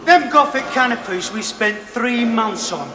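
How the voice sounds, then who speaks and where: A man's voice: very high voice, lively intonation, a little slow. An actor playing a cocky, eager young male recruit, boastful and given to barking like a drill instructor. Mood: boastful, excited. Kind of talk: theatrical television stage-play acting. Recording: echoey hall, noisy old TV tape.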